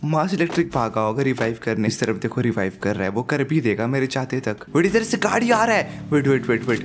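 A young man with a sore throat giving excited live commentary over his own mobile battle royale match, hyping up his kills and laughing often, speaking into a headset at home. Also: medium pitch, a little fast, a little hiss or hum. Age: young adult. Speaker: gaming YouTuber. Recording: game gunfire behind.

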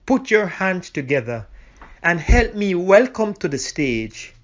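A man's voice: high-pitched, sing-song, muffled recording. A man reading a formal scripted introduction. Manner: slow, formal announcing.

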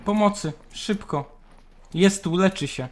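A young man chatting casually and excitably in slang with his teammates during a video game. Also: high voice, unhurried, slight background noise. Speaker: video game player in a squad. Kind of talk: casual in-game team chat. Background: loud music.

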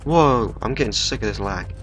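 A man's voice: fairly low pitch, expressive, moderate pace, a bit bright. A young man with a cold, chatting casually through a let's play of a game, swearing now and then. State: bunged up with a cold. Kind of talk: casual gameplay commentary. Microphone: desktop microphone. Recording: game music playing underneath.

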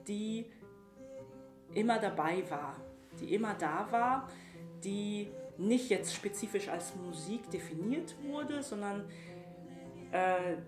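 A middle-aged woman from Cameroon answering interview questions reflectively, searching for words and often repeating them. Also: medium pitch. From Yaoundé, Cameroon. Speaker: musician and singer being interviewed. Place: small bright room indoors, seated by a table. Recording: roomy echo, music underneath.

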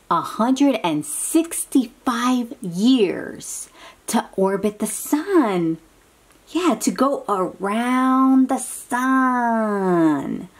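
Very slow, animated woman reading to children. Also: on the high side. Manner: animated, exclaiming, coaxing questions to young children. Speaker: read-aloud host for young children. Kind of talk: children's picture-book read-aloud with teaching asides.

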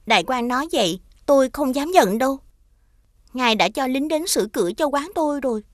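Demure cartoon heroine, young shopkeeper, polite, high-pitched, dry recording. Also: female voice, lively intonation, brisk, no echo. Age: young adult. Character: modest, well-mannered young female shopkeeper. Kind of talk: animated fairy-tale dubbing.

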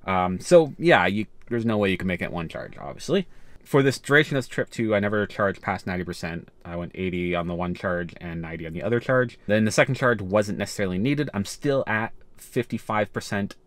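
A man's voice: lowish voice, brisk, no echo. Chatty young man vlogging a road trip. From Canada. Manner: chatty, rambling with restarts. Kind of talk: casual road trip vlog.

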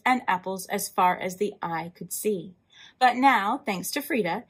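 A woman hosting a library storytime, reading a picture book aloud in an animated way, with emphatic drawn-out words and asides to young listeners. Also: a little high. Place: indoors, in front of a wall with floral decals.

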